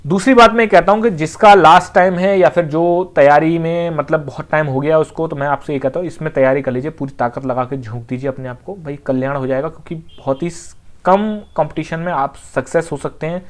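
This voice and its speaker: An exam coaching teacher, explaining things informally and conversationally, repeating points and often checking that students follow. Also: medium pitch. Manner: conversational, with frequent check-in phrases and repetition.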